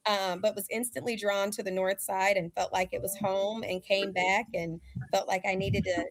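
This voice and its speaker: A woman's voice: medium pitch, level tone, normal speed. A woman who grew up in Alabama, a guest on a community call, talking with enthusiasm. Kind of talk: casual conversation.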